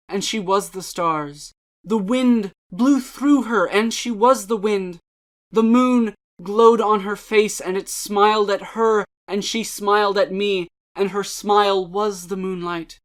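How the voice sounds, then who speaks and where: A woman giving a dramatic reading of poetry and prose in a measured, deliberate, theatrical way. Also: mid-range voice. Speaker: channel host and reader.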